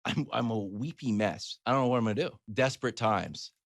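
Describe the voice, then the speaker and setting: A man with a low voice speaks in a dry, close recording. He talks like a sports host sharing a personal story, conversational and emphatic as he makes his points. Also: a little fast, no echo. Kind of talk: casual anecdotal storytelling and sports opinion.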